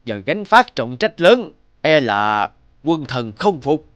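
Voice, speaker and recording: An actor playing a treacherous royal chancellor in an animated fairy tale, formal and calculating. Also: male voice, medium pitch, takes it slowly, no echo, dull audio. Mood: doubtful, formal.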